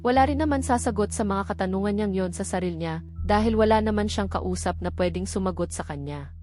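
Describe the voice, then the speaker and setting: A Filipino woman narrates a romance story from a script. Her voice sits at an ordinary female pitch. Music plays clearly behind her reading. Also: a little fast, mellow. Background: background music.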